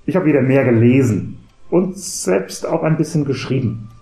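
A man playing an articulate, bookish teacher in a cabaret audio play, measured, wry and wordy. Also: medium pitch, expressive, vocal fry, dark tone, echoey room, soft background music. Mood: earnest.

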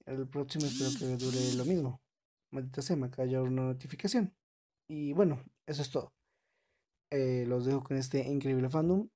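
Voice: mid-range voice, normal speed, mellow. A fan dub creator giving a casual, rambling, informal introduction as host.

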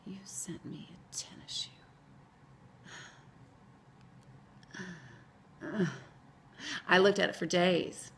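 A woman performing a dramatic monologue as a wistful, wounded former lover, hushed and breathy, with long pauses. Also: on the low side, exaggerated voice. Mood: hushed, aching. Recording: faint background noise.

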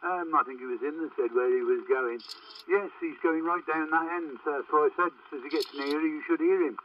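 A man's voice: a little high, a little fast. Man on a heavily noisy CB radio. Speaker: radio operator. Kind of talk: casual CB radio chat. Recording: muffled, very noisy distant CB radio transmission. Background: loud radio static.

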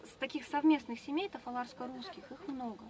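A young woman guide explaining things fluently to a tour group inside a large tent, recorded from a distant camera. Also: high-pitched. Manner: fast, fluent, informative, with fillers.

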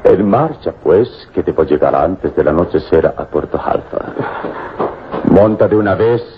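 An actor playing a confident, amused, kindly hero of mystery in an old radio serial, speaking in a grand, indulgent, theatrical manner. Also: male voice, low-pitched, animated, unhurried. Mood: teasing. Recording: noisy, dull old radio recording.

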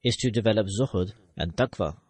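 A man giving the English voice-over of a sermon, reading it formally in measured, phrase-by-phrase delivery. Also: lowish voice, darkish tone, husky. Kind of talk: formal read translation of a sermon.

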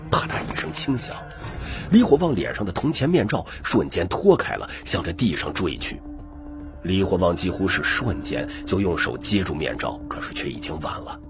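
A man narrating an audiobook in a scripted, dramatic storytelling style. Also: low voice. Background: music.

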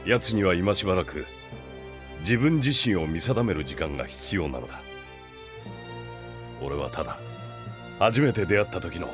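Deep, commanding king. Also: expressive, a little slow, long pauses, music in the background. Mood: solemn, reflective. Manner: grave, authoritative. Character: commanding, thoughtful king recounting his past. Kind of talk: anime audio drama storytelling.

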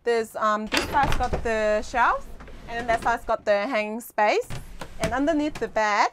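A woman's voice: a little high, a little slow, noisy background. A young woman giving a casual guided tour as a host, speaking into a clip-on mic inside a small boat cabin. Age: young adult.

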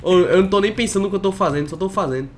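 A young man from Brazil hosting a gaming video, joking around quickly while he has a cold. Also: high-pitched, slight background noise. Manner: jokey, quick back-and-forth. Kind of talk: playful gaming banter.

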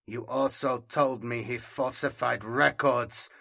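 A man's voice: mid-range voice, fairly even intonation, slow pace, gravelly, pushed and bright, full character voice. A man voicing an earnest, sceptical, stubbornly loyal male scribe in an audiobook, terse and guarded. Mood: angry.

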